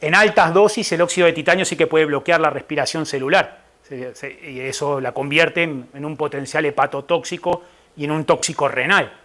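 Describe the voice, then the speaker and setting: A middle-aged Argentine man lectures on nutrition with an Argentine Spanish accent. His voice sits fairly high for a man as he explains each point patiently. He keeps checking in with the room through quick asides. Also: fairly even intonation, sharp tone. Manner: explanatory, checks in with the audience by asking "¿verdad?" and "¿eh?". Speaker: nutritionist giving a public talk. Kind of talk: informal lecture to a live audience.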